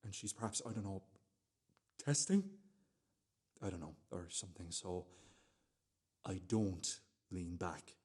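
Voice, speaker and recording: Male actor as nervy ordinary man confiding in a radio play monologue. Lowish, husky, halting, self-correcting. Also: a little mellow. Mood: hushed, careful. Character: nervy, self-justifying, sweary man confiding domestic life.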